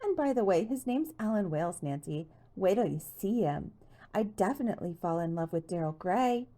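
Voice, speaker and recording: A woman voicing a bubbly, boy-crazy teenage girl in an audiobook, breathless and excitable. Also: medium pitch, normal speed, natural voice. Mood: gushing.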